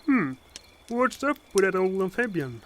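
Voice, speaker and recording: A woman's voice: medium pitch, sing-song, a little hiss or hum. A woman playing a shy, hesitant traveling companion in a fantasy audio drama, with crickets behind. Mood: curious. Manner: hesitant, drawn-out. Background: crickets and night insects.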